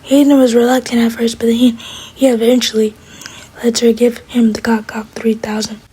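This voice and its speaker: A woman's voice: fairly high pitch, flat delivery, normal speed, warm tone, poor audio. A woman whispering a gossipy, wry recap of a TV drama in an ASMR style. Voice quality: whispering, breathy. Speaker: TV show recapper.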